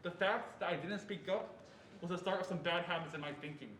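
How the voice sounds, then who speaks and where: A young man performing as an adult man who earnestly recalls his fearful boyhood in a deliberate stage reading. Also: high voice, a bit bright. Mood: earnest, reflective. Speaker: student performer reading as narrator. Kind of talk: reader's theatre narration of a first-person memoir. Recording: echoey room with faint noise.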